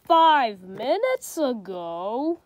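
A woman voicing an anxious, easily alarmed male elephant from a picture book, reading slowly and wonderingly. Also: a little high, big pitch swings, darkish tone, slight background noise, heavily acted. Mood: worried. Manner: slow, wondering storybook reading.

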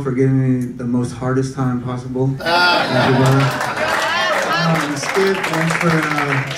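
A pastor assistant thanks his mentors one by one from a church stage. His pitch is middling and plain. The recording is distant and echoey, with loud noise behind. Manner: grateful, thanking people.

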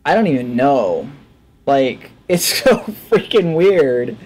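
Male gaming host, bemused and thinking out loud, lively intonation with very unhurried, broken-up phrasing. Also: medium pitch, slight background noise. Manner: halting, musing, bemused. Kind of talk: casual game commentary, thinking aloud. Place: indoor room at a desk. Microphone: headset.

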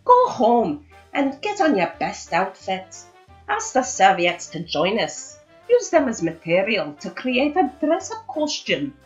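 Woman voicing a rousing young hero spoon, lively pitch. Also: unhurried, muffled recording, faint music behind. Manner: earnest, rallying speechmaker. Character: young male wooden spoon, rousing heroic leader.

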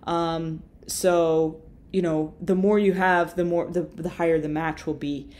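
A young woman walking viewers through a screen-share software tutorial in a relaxed, explanatory way, with fillers and self-corrections, recorded on a webcam in a small room. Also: low voice, a little slow. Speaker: marketing tutor.

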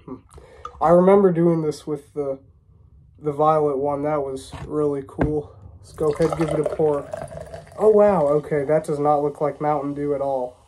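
A man's voice: fairly high pitch, fairly even intonation, normal speed, mellow, slight background noise. A young American man with a stuffy, congested voice from a cold, chatting casually to camera as a reviewer in a small room. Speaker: vlogger reviewing drinks.

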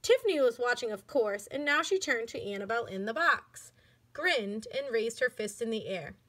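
Bright, high-voiced woman reading aloud. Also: normal speed. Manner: clear, expressive storytelling. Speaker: elementary school educator. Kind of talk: children's book read-aloud.

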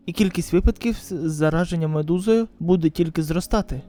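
A woman voicing a grave, measured news expert giving a formal report in a manga drama. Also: lowish voice, mellow, voice pushed down, close to an everyday voice.

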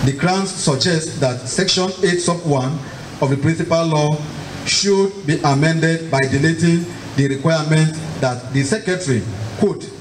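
A man with a Nigerian accent reading a formal submission as a civil society representative. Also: a little high. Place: public hearing hall. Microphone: handheld microphone. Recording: echoey hall, faint noise.

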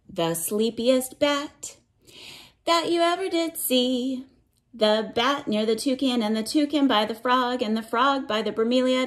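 Slow, higher-voiced woman reading to children. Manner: sing-song cumulative verse, gentle questioning of young listeners. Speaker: read-aloud storyteller for children. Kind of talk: reading a picture book aloud, with simple explanations for children.

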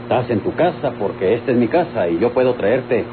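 A man acts a hearty, hot-tempered country man in a Mexican radio drama. His mid-pitched speech swings widely and emphatically. The vintage recording is thin and noisy. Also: lively intonation, fairly quick, narrow, tinny audio, close to an everyday voice. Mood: indignant. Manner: emphatic, colloquial. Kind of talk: old radio drama acting. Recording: noisy, band-limited old radio recording.

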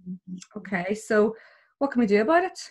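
A middle-aged woman in an even, mid-pitched voice talks over a video call, co-hosting a skin clinic chat. She speaks conversationally and often chimes in to agree or add a point. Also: moderate pace. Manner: warm, conversational, interjects with agreement. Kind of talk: casual chat.

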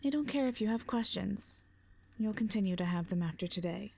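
Woman acting a defiant mercenary, protective, sardonic, blunt, rough-edged husky voice, audio drama. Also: medium pitch, animated, fairly quick, a little mellow. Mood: sardonic, firm. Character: brash, defiant female mercenary, protective and unimpressed.

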